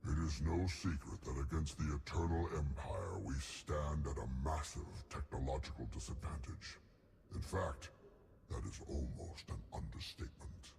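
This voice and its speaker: An actor playing a pompous, erudite alien scientist in a video game, speaking in a formal, verbose and deliberate way. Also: male voice, extremely low pitch, expressive, creaky, a little mellow, slight background noise, full character voice. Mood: measured, appraising. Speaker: alliance science chief. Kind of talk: scripted game character dialogue.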